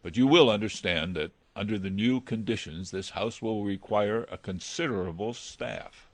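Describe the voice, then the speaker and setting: Man acting subdued butler, deep voice, formal, deferential, gothic audiobook character, dry sound. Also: normal speed, no room sound, lightly acted. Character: formal, subdued male butler of a gloomy old mansion.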